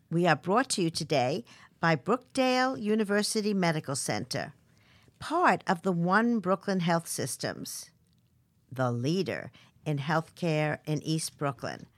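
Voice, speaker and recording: A woman hosts an interview podcast, reading an upbeat introduction and asking eager questions. Her pitch moves a lot while sitting fairly low. The sound is close and dry. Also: no echo. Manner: enthusiastic, promotional. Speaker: podcast host, publisher.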